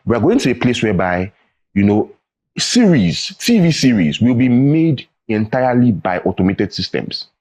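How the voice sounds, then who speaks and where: Husky-voiced Nigerian man explaining tech. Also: mid-range voice, big pitch swings, moderate pace, darkish tone. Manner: earnest, explanatory, frequent "right?" and "you know". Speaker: tech training founder, guest. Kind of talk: studio interview.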